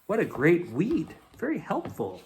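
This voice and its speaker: A man reading a children's picture book aloud as a bedtime story host, in an expressive, dramatic way. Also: fairly high pitch, measured pace, slight background noise, poor audio.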